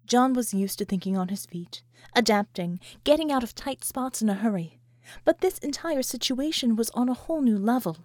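A woman's voice: a little high, expressive, normal speed, a little mellow, no room sound. A woman reading scripted fiction as an audiobook narrator.

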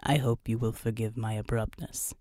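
A woman's voice: very deep voice, little pitch movement, moderate pace, dark tone, no room sound, clean studio sound, pitched below the performer's own voice. A woman voicing a courteous fighter with a synthesized voice, speaking in a formal, stiff and polite way.

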